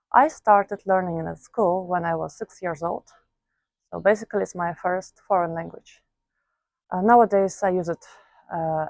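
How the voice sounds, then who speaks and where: A young woman from Belarus talking casually to camera, thoughtful and hesitant, pausing often with filler sounds. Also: on the low side, creaky, darkish tone, no echo. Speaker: vlogger.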